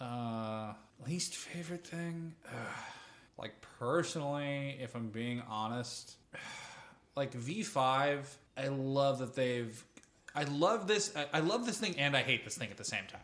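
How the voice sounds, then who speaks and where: A man with a mid-range voice chats casually as a podcast co-host. He rambles from one thought to the next, swears freely and tells things in an animated, informal way. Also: mid-range voice, slow pace. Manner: rambling, profane, animated storytelling. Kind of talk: casual chat about tabletop role-playing.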